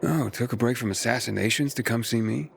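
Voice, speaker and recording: A man's voice: medium pitch, fairly quick, creaky, slight background noise, close to an everyday voice. An actor playing a determined, cocky young male survivor in a video game, talking in a casual, confident way. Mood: confident, teasing.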